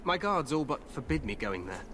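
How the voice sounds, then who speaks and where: A man's voice: mid-range voice, very animated, moderate pace, a bit bright, slight background noise. An actor playing a cheerful, overconfident young human king, speaking in a hearty, regal way.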